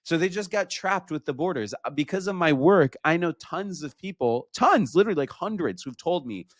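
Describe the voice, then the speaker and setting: A man from Iran talking casually as a guest, animated and quick to mimic other people. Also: fairly high pitch, no echo, clean studio sound. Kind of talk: casual conversation.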